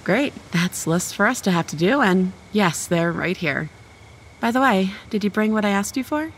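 A young woman playing a sassy, sharp-tongued college student in an audio horror drama, blunt and sarcastic. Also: medium pitch, lively intonation, normal speed, slightly rough. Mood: practical. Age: young adult. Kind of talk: radio horror drama acting.

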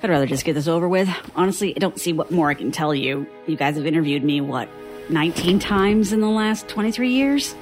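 A woman's voice: low-pitched, a little fast, a little mellow, faint music behind, lightly acted. A woman playing a bitter, impatient survivor in her thirties in an audio drama, curt and sarcastic in a natural style.

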